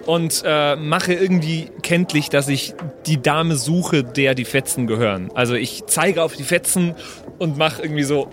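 A man's voice: a little high, moderate pace, faint music behind. A man improvising casually as a player in a tabletop role-playing game.

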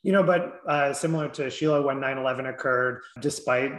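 A man's voice: medium pitch, brisk, a bit bright, roomy sound, rough recording. A man in his thirties from Southern California, an author and clinician answering interview questions reflectively, often saying "you know".

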